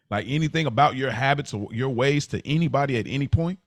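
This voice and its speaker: A man co-hosting a podcast, telling stories in a casual, conversational way, halting and repeating phrases as he works out his point. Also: mid-range voice, no echo. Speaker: podcast co-host.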